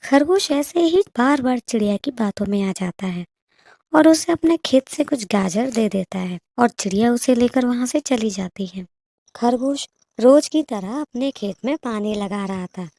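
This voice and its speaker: A woman's voice: a little high, animated, a little slow, mellow, dry recording, clean studio sound. A woman narrating a children's moral story in a scripted storytelling style.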